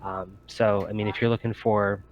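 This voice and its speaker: A man's voice: lowish voice, flat delivery, normal speed, muffled recording. A man improvising narration as game master of a tabletop roleplaying game, hesitant and thinking aloud.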